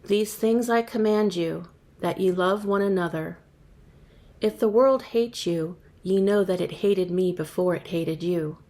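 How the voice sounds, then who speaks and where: Woman reading scripture in a measured voice. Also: lowish voice. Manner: measured, even reading in archaic language. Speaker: scripture reader.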